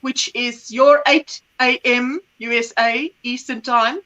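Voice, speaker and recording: A woman's voice: fairly high pitch, fairly even intonation, takes it slowly, sharp tone, rough recording. A middle-aged woman, an Afrikaans speaker, hosting a casual livestream chat, rambling and searching for words as she reads comments. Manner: chatty, rambling, searches for words, laughs often. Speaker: livestream host.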